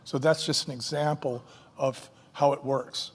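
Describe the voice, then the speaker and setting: A man's voice: mid-range voice, steady tone, unhurried, slightly rough, long reverb tail. A man who co-founded a company, speaking as a guest in a fireside chat and telling stories enthusiastically, with fillers.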